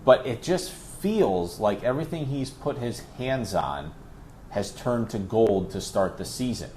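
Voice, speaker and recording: A man's voice: mid-range voice, lively intonation, unhurried, darkish tone, a little hiss or hum. A man who works as a play-by-play announcer, talking conversationally and at length as an interview guest, pressing his points with emphatic repetition.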